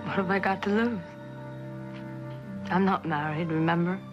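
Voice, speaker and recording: A woman's voice: lowish voice, fairly even intonation, normal speed, highs cut off, over music, close to an everyday voice. A young actress playing a devoted, concerned woman in an intimate television drama scene, speaking earnestly. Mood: earnest, resolved. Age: young adult. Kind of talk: 1960s television drama acting.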